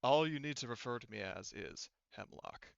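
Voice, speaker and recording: A man voicing a calm, sinister criminal mastermind who gives measured, menacing orders in a tabletop roleplaying game. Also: mid-range voice, gravelly, no room sound, lo-fi sound.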